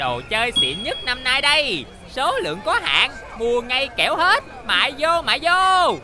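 A woman voicing a lively cartoon girl who cheers and hawks with energy. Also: normal speed, over music. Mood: hawking. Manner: cheering, sales-pitch energetic. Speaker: cartoon character. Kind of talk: cartoon voice acting.

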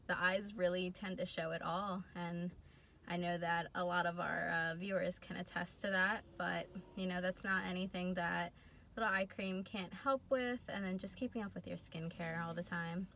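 A woman's voice: lowish voice, steady tone, normal speed, gravelly, fairly bright tone. Rambling young woman, faint hiss, in a car. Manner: rambling, filler-heavy. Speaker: co-host. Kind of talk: casual chat. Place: parked car. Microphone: phone camera on dashboard.

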